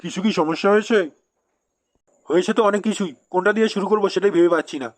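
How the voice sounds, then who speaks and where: A man's voice: high voice, fast. A man voicing outraged, blustering village men in a comedy cartoon, speaking dramatically.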